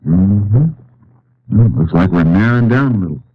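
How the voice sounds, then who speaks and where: A man's voice: lowish voice, moderate pace, lightly acted. An American man playing a laconic, determined Texas Ranger in a 1950s radio drama, dry, clipped and folksy. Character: laconic, determined Texas Ranger investigator, narrating his case. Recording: muffled old-time radio recording.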